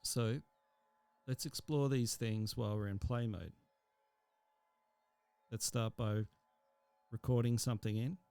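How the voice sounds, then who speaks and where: A man narrating a software tutorial in a casual, explanatory and somewhat hesitant way. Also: medium pitch, fairly even intonation, takes it slowly, creaky, long pauses, clean tone, dry recording.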